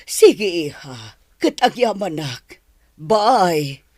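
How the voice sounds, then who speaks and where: A woman's voice: fairly low pitch, big pitch swings, extremely slow, darkish tone, dry recording, heavily acted. A woman acting a stern, nagging middle-aged mother in a radio drama, with an Ilocano accent. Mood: concerned.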